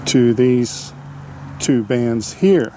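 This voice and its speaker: A man giving a casual, unscripted hands-on repair demonstration, halting as he thinks aloud. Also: mid-range voice, lively intonation. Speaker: hobbyist radio restorer demonstrating a repair. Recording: clearly noisy amateur video sound.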